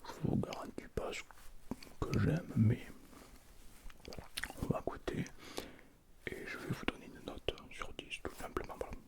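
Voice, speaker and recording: A man hosting an ASMR tasting in a casual, hushed, low voice. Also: a little slow, long pauses. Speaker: ASMR video host. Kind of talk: whispered ASMR food tasting.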